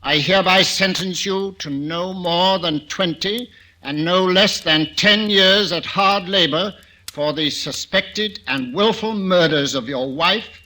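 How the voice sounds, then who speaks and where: A man's voice: high-pitched, takes it slowly, pushed and bright, exaggerated voice, poor audio. An actor playing a stern, pompous male judge who hesitates between formal pronouncements, in a 1940s radio drama.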